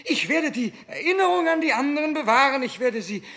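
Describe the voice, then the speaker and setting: An actor playing a grandiose, self-obsessed dying king terrified of death, theatrical in a 1960s German radio drama, with a husky, gravelly voice. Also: extremely high pitch, expressive, fairly bright tone, full character voice. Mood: feverish, pleading. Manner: theatrical, slow declaiming, swinging between bombast and faint pleading.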